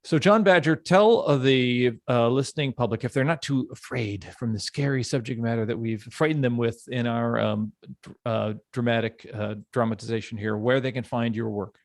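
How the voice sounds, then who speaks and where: A man's voice: mid-range voice, moderate pace, dry recording. Male podcast host, casual and effusive, mid-range voice in a dry recording. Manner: enthusiastic, effusive.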